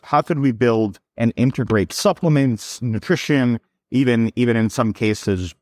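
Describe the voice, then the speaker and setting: A man's voice: mid-range voice, dry recording. Dry-voiced man telling his founder story. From born in Serbia, raised in New York. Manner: fluent storytelling with frequent word repeats. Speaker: company founder, guest. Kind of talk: podcast interview answers.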